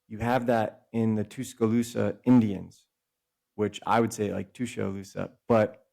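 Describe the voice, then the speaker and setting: A man's voice: on the low side, creaky, darkish tone. A man talking as a podcast guest and author, explaining at length in a discursive way and often stopping to correct himself. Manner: discursive, self-correcting, speeds up and slows down. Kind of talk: casual explanatory conversation.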